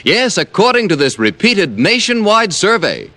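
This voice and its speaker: A man's voice: high-pitched, very animated, normal speed, bright, forceful tone, dry recording. An American man working as an old-time radio announcer, reading adverts and credits with emphatic, polished salesmanship. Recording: old radio broadcast with music.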